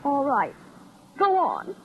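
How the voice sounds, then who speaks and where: A woman's voice: sits high, wildly swooping pitch, slow pace, heavily acted. A woman playing a pert, stubborn secretary who argues bluntly, in a 1940s radio drama. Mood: defiant. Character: pert, stubborn young female secretary to a novelist. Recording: muffled, hissy old radio recording.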